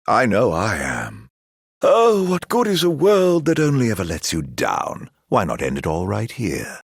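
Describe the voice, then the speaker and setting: An actor playing a mocking, theatrical immortal villain in a video game, drawling mock-polite taunts in a husky, gravelly voice. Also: male voice, fairly low pitch, huge pitch swings, unhurried, no echo, studio quality, full character voice. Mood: mocking, taunting.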